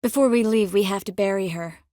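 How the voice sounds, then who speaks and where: An actress playing a determined, warm young sorceress in a fantasy video game, speaking earnestly and expressively with a gently teasing manner. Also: female voice, mid-range voice, brisk, no echo, clean studio sound, lightly acted. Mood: serious, urgent. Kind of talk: video game dialogue acting.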